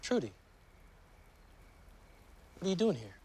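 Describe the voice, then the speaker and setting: An actor playing a flustered, devoted young suitor, earnest and pleading, in a 1920s period TV drama. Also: male voice, high voice, big pitch swings, a little slow, warm tone, a little hiss or hum.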